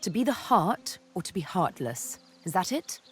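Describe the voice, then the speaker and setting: A woman's voice: fairly low pitch, big pitch swings, normal speed, soft background music. A woman playing a cool, sardonic, vengeful elf assassin in a fantasy game, poised, wry and intimate. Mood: wry, guarded. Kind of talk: fantasy video game acting.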